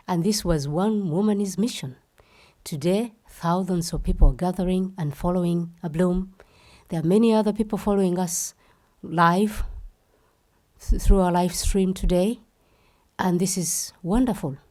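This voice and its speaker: A woman's voice: fairly low pitch, a little slow, dark tone. A woman from Uganda, the founder of a festival, giving an impassioned speech and repeating phrases for emphasis.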